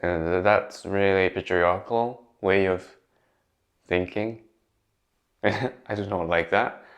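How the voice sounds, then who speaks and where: A young man with a Japanese accent speaks to camera in a relaxed, unscripted way. His voice sits very low. He pauses and restarts often, leaning on fillers. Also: dull audio. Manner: conversational, many fillers and restarts. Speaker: YouTuber. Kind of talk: casual talk to camera.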